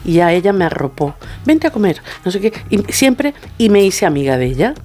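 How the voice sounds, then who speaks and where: A middle-aged woman, telling stories conversationally as an interview guest in an effusive, animated way and acting out the dialogue. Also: on the low side, a little fast. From Venezuela. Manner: effusive, acts out quoted dialogue in anecdotes. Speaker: guest, singer and vocal coach.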